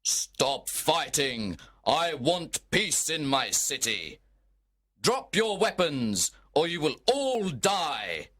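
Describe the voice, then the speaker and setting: Man voicing a stern, high-pitched angry prince. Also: sing-song, fairly bright tone, heavily acted. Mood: stern anger. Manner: stern, slow, emphatic. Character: angry, commanding prince scolding feuding old lords. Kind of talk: storybook dramatization.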